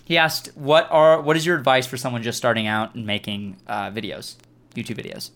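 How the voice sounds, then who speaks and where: A man's voice: mid-range voice, steady tone, fairly quick, fairly bright tone. A man hosting a casual group question-and-answer chat, speaking in an emphatic, earnest way. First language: Farsi and English speaker. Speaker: host reading viewer questions. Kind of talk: casual group Q&A chat giving advice to beginner video makers.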